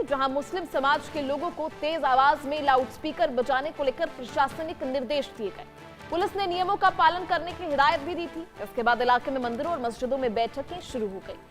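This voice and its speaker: A woman anchors a news broadcast in a high-pitched voice. Her delivery is formal and emphatic, the narration of a breaking report. Music plays faintly underneath her.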